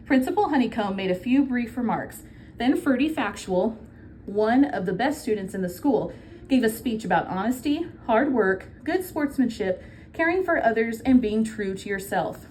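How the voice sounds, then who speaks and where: A woman's voice: fairly high pitch, animated, moderate pace, darkish tone, a little hiss or hum. A woman reading a children's book aloud like a teacher, explaining simply and prompting her listeners with questions.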